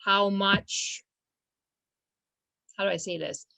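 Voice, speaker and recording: A woman's voice: mid-range voice, fairly even intonation, slow pace, creaky, pushed and bright, clean tone, no room sound. A young woman from Romania, a law firm's business manager, talking casually in an interview, hesitant with many fillers and restarts.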